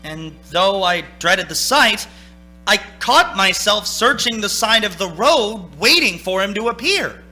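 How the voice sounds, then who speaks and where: A man's voice: high-pitched, big pitch swings, unhurried, pushed and bright, exaggerated voice, low-quality recording. An actor playing a haunted, anxious young man in a radio play, dramatic and nervously stammering. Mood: haunted, brooding. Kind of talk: radio play acting, narrating and in scenes. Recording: stage radio play with music.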